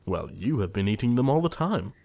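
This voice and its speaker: Man playing indignant, proper gentleman, animated pitch. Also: brisk, vocal fry. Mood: dry. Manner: formal, indignant, emphatic. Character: earnest, easily exasperated young English gentleman, proper and indignant. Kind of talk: audio play acting of period comedy.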